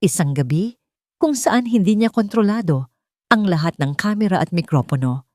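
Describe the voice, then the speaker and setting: A woman's voice: mid-range voice, lively intonation, moderate pace, darkish tone, no echo, clean studio sound. A woman with a Filipino accent reading a dramatic love story as a scripted narration.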